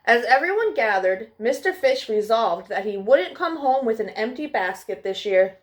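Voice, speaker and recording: A woman hosting children's storytime, expressive and affectionate, speaking simply as she would to young listeners. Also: fairly high pitch, normal speed. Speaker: storytime host reading to children. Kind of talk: animated children's storybook reading and friendly address.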